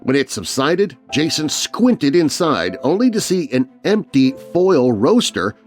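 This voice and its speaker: A man's voice: on the high side, sing-song, a little slow, faint music behind. A man narrating a scary story for children in a dramatic, scripted storytelling style.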